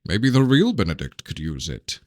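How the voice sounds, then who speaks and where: A man voicing a blunt, curious male companion in a fantasy audiobook, in a low-key manner. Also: wildly swooping pitch, normal speed, dry recording, clean studio sound. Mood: blunt curiosity.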